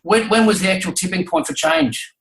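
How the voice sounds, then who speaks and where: A man conducting an informal interview, affirming and a little halting in his phrasing, recorded with echoey room sound. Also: high-pitched, fairly bright tone. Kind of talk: conversational interviewing.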